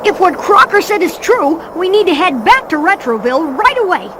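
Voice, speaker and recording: High-voiced brainy cartoon boy. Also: big pitch swings, moderate pace, very noisy. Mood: resolute. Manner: pedantic, matter-of-fact. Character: brainy, skeptical, pedantic cartoon boy genius. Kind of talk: cartoon video game acting.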